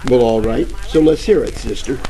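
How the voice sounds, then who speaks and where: A man playing a wisecracking, hapless private eye in a parody of old radio detective drama, with deadpan delivery. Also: medium pitch, moderate pace, natural voice. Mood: wry, flirty. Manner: deadpan, wisecracking first-person narration. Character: wisecracking hardboiled male gumshoe, hapless and flirty. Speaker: narrator and lead actor. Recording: crackly old-time radio with music.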